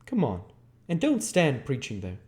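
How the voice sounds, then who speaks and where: Gruff London thug, storybook villain, man acting him out, raised pitch, forceful, scornful, impatient. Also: wildly swooping pitch, normal speed. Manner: loud, blunt, impatient and forceful. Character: gruff, scornful adult male London robber.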